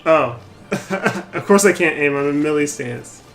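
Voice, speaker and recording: A man's voice: a little high, animated, a little slow, fairly bright tone, soft background music. A man streaming a game with casual commentary, reacting aloud as he plays.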